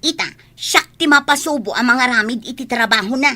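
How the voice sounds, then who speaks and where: A woman's voice: fairly high pitch, fairly quick, bright, forceful tone. A woman playing a whiny, sulky daughter who grumbles over chores in a radio comedy drama. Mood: grumbling. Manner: complaining, sulky.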